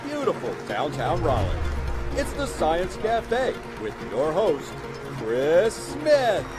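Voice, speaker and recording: Male announcer, slow and sing-song, reads the intro over a loud music bed. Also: a little high, huge pitch swings.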